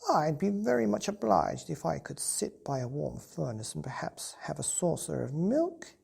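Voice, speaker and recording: A woman's voice: deep voice, sing-song, moderate pace, vocal fry, warm tone, slightly rough, heavily acted. A woman voicing a polite, well-travelled old female alley cat in a bedtime story, genteel and musing. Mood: polite, grateful.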